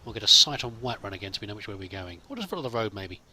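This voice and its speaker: A man's voice: fairly low pitch, big pitch swings, rapid-fire, bright, forceful tone, husky. A man giving casual, improvised roleplay commentary over a video game, musing and thinking aloud as he plays. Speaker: gamer, let's play host.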